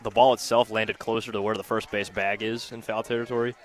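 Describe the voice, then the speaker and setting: A man working as color commentator on a live radio softball broadcast, analysing play at an outdoor ballfield. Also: on the low side, level tone, brisk.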